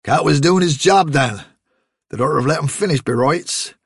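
A man doing a gruff country farmer dad. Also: fairly high pitch, moderate pace, no room sound, clean studio sound, pitched above the performer's own voice. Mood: firm. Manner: gruff, scolding, broad country speech. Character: gruff middle-aged farmer father, blunt and practical.

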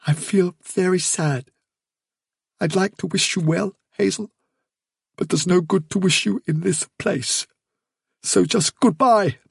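A man voicing a small, sad male rabbit in a storybook, speaking quietly with solemn resignation. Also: sits high, slow pace, gravelly, long pauses, breathy edge, no room sound, studio quality, pitched above the performer's own voice, heavily acted. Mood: sad resolve. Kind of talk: storybook character dialogue.

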